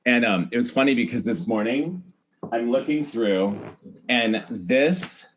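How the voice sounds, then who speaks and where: A man's voice: medium pitch, lively intonation, measured pace, slight background noise, rough recording. A middle-aged man, telling stories in a chatty, casual way as a podcast guest and acting out remembered dialogue. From United States, Iowa. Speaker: podcast guest, celebrity hair stylist. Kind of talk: animated casual storytelling.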